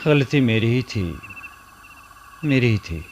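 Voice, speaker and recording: A man's voice: medium pitch, animated, slow pace, a little mellow, a little hiss or hum. An actor in a radio play playing a lonely, brooding man who muses theatrically and hesitates, repeating phrases. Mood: brooding, regretful. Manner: theatrical musing, hesitant repetitions. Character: lonely brooding man, wistful, curious, easily smitten. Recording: music under the voice.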